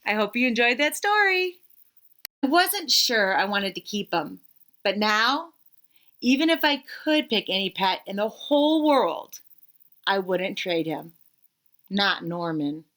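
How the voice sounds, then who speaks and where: A woman narrating a children's story aloud in an expressive, emphatic way with dramatic pauses. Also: mid-range voice.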